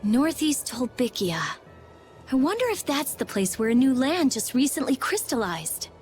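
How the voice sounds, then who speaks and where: Brusque, high-voiced clever girl. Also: very animated, normal speed, darkish tone, faint music behind. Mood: pensive. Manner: brusque, sarcastic. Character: sharp-tongued, clever teenage girl mage. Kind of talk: English video game voice acting.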